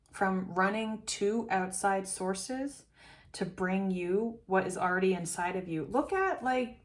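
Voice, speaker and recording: A woman giving an intuitive reading straight to camera, meandering and chatty, musing aloud as images come to her. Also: medium pitch. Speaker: tarot reader.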